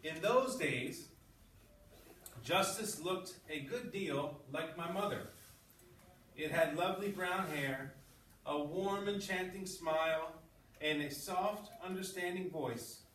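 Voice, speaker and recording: A man's voice: on the high side, takes it slowly, a bit bright, reverberant. Guy teacher reading aloud and explaining to kids, kinda far from the mic, bit of background noise. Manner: explanatory, often checks in with short questions. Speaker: grade six English teacher. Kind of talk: classroom read-aloud with running commentary and questions to pupils. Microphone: at some distance from the speaker.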